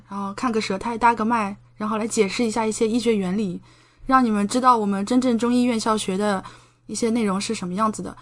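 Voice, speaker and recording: A woman guest on a podcast, talking casually and explaining things in a talkative way with rhetorical questions and quoted exchanges. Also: mid-range voice. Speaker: podcast guest, medical doctoral student and science writer.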